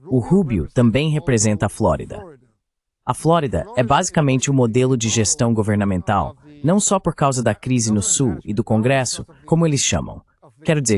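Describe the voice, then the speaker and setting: A man's voice: on the low side, a little mellow. A man with a Brazilian accent speaking as an interview guest, giving political analysis in a translated voice-over.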